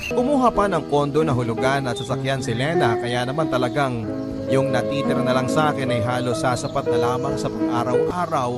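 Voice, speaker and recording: A man's voice: medium pitch, a little fast, natural voice. A man playing a guilt-ridden overseas worker father, telling his life story as an earnest, sorrowful radio confession. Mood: regretful. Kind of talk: dramatic first-person radio confession. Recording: loud background music under voice.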